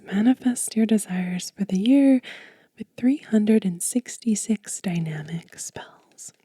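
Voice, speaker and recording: A soft-spoken woman doing an ASMR show and tell, whispering gently and without hurry close to the microphone. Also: mid-range voice, no room sound. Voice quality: breathy, whispery, hushed. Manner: gentle, unhurried. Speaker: ASMR creator and host.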